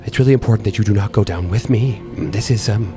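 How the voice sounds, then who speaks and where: A man's voice: lowish voice, music in the background, close to an everyday voice. A man voicing a mousy, nervous, forgetful fellow who stammers, hums to himself and repeats his phrases in a tabletop game. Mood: hesitant, flustered. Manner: halting, stammering, repetitive, humming to himself.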